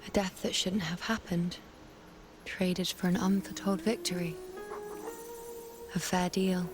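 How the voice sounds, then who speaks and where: A woman voicing a solemn, gentle, resigned woman in a video game, speaking in a grave, poetic and measured manner. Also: on the low side, level tone, music in the background, close to an everyday voice. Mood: resigned, sorrowful. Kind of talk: dramatic video game dialogue.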